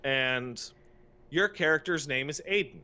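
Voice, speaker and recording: A man's voice: on the high side, a little slow, pushed and bright. A man co-hosting a podcast in a casual, opinionated rant, exasperated and emphatic, repeating phrases for effect and swearing freely. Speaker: podcast co-host.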